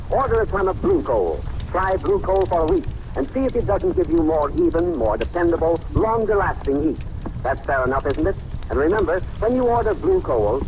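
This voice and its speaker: A man reading a scripted sponsor pitch as an announcer in 1940s radio style, on an old broadcast recording. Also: darkish tone. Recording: noisy vintage radio recording. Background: rain and crackling fireplace ambience.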